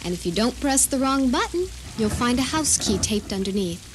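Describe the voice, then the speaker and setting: A woman playing a bitter, vengeful captor in an audio drama, speaking with sardonic, deliberate menace. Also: a little high, animated, natural voice. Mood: taunting, menacing. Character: vengeful doomed female captor, bitter, taunting, coldly menacing. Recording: faintly noisy radio drama recording.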